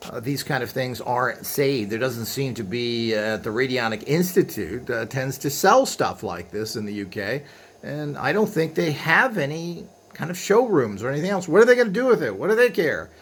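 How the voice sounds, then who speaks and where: A man's voice: mid-range voice, very animated, moderate pace, husky. A man presenting equipment in a casual, unscripted show-and-tell, with a blunt, griping, opinionated way of talking. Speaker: presenter demonstrating vintage equipment.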